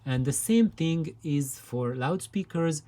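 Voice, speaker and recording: A man giving an unscripted explanatory demonstration, talking in a deliberate, halting way as he presents. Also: a little high, animated, warm tone. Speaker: presenter of hobbyist audio videos.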